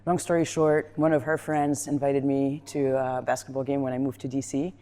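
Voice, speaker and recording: A woman's voice: extremely low pitch, normal speed. A woman from Colombia, a former rugby player, chatting casually during a gym workout, telling stories with lots of laughter. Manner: animated storytelling, laughs often. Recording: echoey gym with long reverb.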